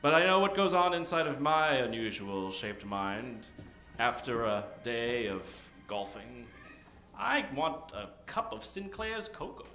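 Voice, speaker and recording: A man's voice: mid-range voice, very animated, a little slow, sharp tone. A man giving theatrical, improvised narration as announcer in the style of old-time radio. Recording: roomy stage sound, music behind.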